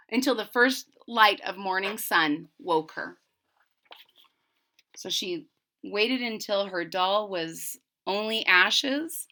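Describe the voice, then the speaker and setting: A woman reading a children's story aloud expressively and deliberately, pausing to explain it simply to young listeners. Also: mid-range voice, pushed and bright. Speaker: storybook reader.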